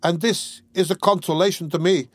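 A man's voice: high voice, fairly even intonation, normal speed, no echo, voice pushed up. A man playing a meek, abject drunkard. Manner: submissive pleading. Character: meek drunken husband, abject, self-pitying.

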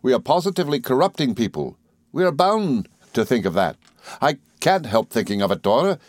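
A male reader acts a young husband from a classic novel. He is earnest and patient, reasoning in formal, measured phrases with gentle reproof and some exasperation. The voice is mid-pitched and closely recorded. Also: very animated, no room sound, studio quality, lightly acted. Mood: gently reasoning. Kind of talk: dramatised classic novel reading.

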